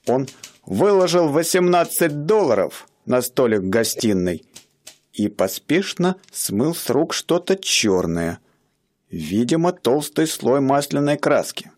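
A man narrating a radio play in a measured storytelling manner. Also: mid-range voice, very animated, darkish tone. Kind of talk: scripted radio drama narration.

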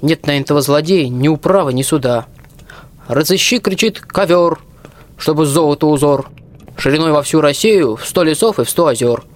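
An actor playing a plain-spoken, cheeky young peasant archer, talking in folksy rhyme in a fairy-tale radio play. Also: male voice, on the high side, moderate pace, natural voice. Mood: gloomy, indignant. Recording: music behind the voice.

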